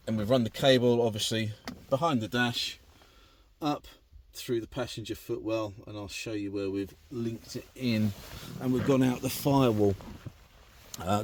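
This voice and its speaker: A British man with a fairly low voice talks in an easygoing, informal way, as if showing someone round his vehicle. It is a chatty handheld vlog, with faint noise behind the voice. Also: moderate pace. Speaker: vehicle owner presenting an installation. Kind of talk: casual walk-through vlog. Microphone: handheld camera or phone.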